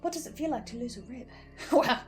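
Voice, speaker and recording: A woman's voice: a little high, expressive, a little fast. A woman giving casual, wry, sweary commentary as she recaps and reacts to a podcast. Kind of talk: casual first-person commentary with theorizing. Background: music.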